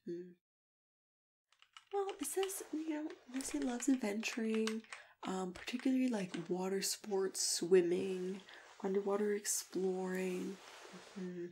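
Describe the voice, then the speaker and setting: A woman speaking softly and breathily in an ASMR roleplay as a consultant, often slipping into a whisper, gentle and halting, close to the microphone. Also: medium pitch, poor audio. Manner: gentle, unhurried, halting, with small agreeing hums. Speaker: ASMR roleplayer acting as a monster matchmaking consultant. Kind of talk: soft-spoken ASMR roleplay, improvised one-sided client consultation. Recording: close ASMR recording with typing, clicks, hiss.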